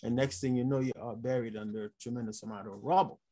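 A man in his fifties with a Haitian accent, speaking as a panelist on a video call from his office, chuckling often and pausing on fillers. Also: mid-range voice, fairly even intonation. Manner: warm, chuckling, unhurried with many fillers. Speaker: panelist, chief medical officer. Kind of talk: conference talk over video call. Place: office with blinds and glasses display. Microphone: video call webcam.

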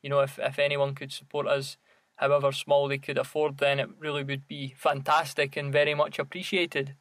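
A man's voice: medium pitch, completely flat delivery, fairly quick, fairly bright tone. A man co-hosting a podcast in casual, conversational chat. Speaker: podcast co-host.

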